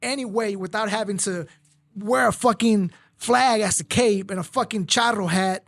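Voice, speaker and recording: A man's voice: sits high, moderate pace, creaky, a bit bright, no echo. A man born in Mexico who grew up speaking Spanish hosts a casual talk show. He speaks bluntly and heatedly, with plenty of profanity. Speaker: podcast host. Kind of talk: casual chat.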